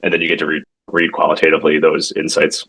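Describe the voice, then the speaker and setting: A man giving an informal product demo as a tech founder, talking in a halting, conversational way with self-corrections and frequent check-ins. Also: very deep voice, monotone, fairly bright tone, husky. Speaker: tech company founder demonstrating his product.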